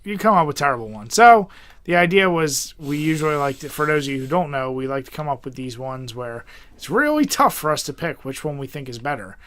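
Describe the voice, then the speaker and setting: Bright-voiced man, blunt and teasing. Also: fairly high pitch, expressive, brisk. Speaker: podcast co-host. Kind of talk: casual banter about games.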